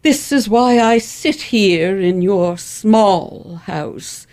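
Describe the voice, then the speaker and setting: Radio play role: vain, haughty elocution madam, acted by a woman, very animated, formal delivery. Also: medium pitch, very slow. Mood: aggrieved. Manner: pompous, formal, emphatic enunciation. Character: proud, vain, imperious elocutionist lady, easily outraged. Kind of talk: old-fashioned radio play acting.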